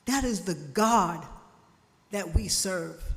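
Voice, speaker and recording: Slow, emphatic woman preaching in a hall. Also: lowish voice, expressive. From family from Jamaica. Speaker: guest speaker at a church service. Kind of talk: preaching a sermon. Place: church sanctuary. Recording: church hall reverb.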